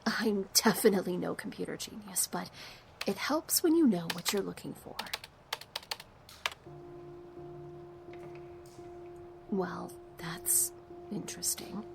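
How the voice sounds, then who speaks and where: A young woman acting in an audio drama as a sharp, wry, tech-savvy younger sister. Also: mid-range voice, expressive, moderate pace, creaky, a little hiss or hum. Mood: focused. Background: music.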